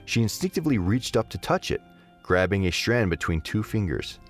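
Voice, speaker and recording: Male narrator, low pitch, animated intonation, expressive scripted storytelling, faint music. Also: normal speed.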